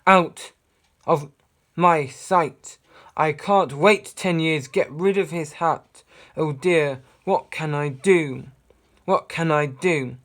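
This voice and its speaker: Storybook king, bossy and impatient, bellowing, played by a man; slightly higher voice. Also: takes it slowly. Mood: exasperated. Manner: loud, exasperated shouting. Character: blustering impatient adult male king.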